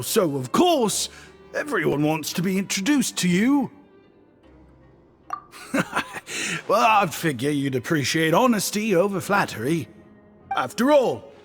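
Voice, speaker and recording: A man's voice: fairly high pitch, a bit bright, in a large hall, full character voice. An actor playing an affable, chatty young male shopkeeper in a video game, effusive and breezy with a self-deprecating streak.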